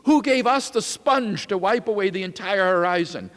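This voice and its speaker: A man's voice: high-pitched, normal speed, a bit bright, voice pushed up. A man voicing an anguished, accusing madman with declamatory, urgent delivery in a dramatic reading. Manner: declamatory, urgent rhetorical questions. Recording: reverberant lecture hall.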